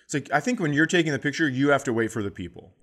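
Animated middle-aged male comedian telling stories. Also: on the high side, racing. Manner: animated, acts out voices in his stories. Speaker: comedian podcast co-host. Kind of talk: casual comedic storytelling.

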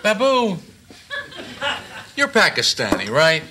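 An actor playing a sitcom lead, talking in a teasing, amused way. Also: male voice, a little high, wildly swooping pitch, slow, noisy background, heavily acted. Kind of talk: sitcom acting.

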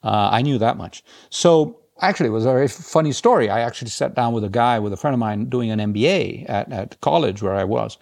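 A man's voice: mid-range voice, animated, fast, creaky, few pauses, a little mellow. A man who grew up across South America and Europe, talking casually and a little hesitantly as an interview guest. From grew up across South America and Europe, born in Brazil. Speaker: interview guest, head of quantitative investing. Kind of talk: casual conversational storytelling.

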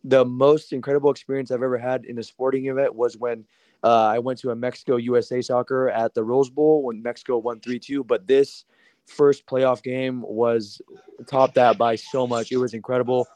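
A man calls in to a radio show, telling his story eagerly and at length. His voice is a little raspy and stays fairly level in pitch. The sound is dry and close. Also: level tone, moderate pace, darkish tone, no room sound. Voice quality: slightly raspy. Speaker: caller. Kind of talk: phone-in chat.

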